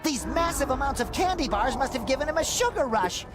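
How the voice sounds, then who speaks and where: A man voicing an eager, earnest, boyish cartoon sea sponge in a video game, caring and excitable. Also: very high voice, brisk, over music. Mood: concerned.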